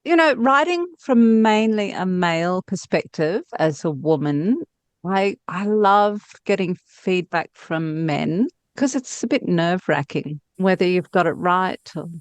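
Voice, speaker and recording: Female interview guest, slightly low pitch, very dry sound, casual and thinking aloud. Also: expressive, a little slow, clear, resonant tone, no echo. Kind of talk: casual reflective interview talk.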